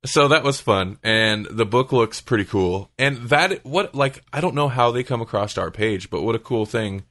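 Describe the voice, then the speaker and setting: A man's voice: mid-range voice, moderate pace, fairly bright tone. A man hosting a podcast, talking casually and conversationally with his co-hosts. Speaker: podcast host.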